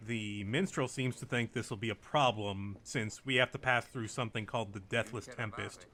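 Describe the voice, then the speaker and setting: A man's voice: fairly low pitch, moderate pace, sharp tone. A man giving a casual recap of a video game as a podcast host.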